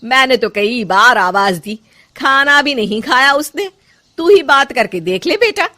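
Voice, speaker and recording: Woman playing a lively, high-voiced mother-in-law. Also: a little slow, exaggerated voice. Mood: worried. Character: chatty middle-aged mother-in-law in a family tale. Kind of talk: animated moral story dialogue.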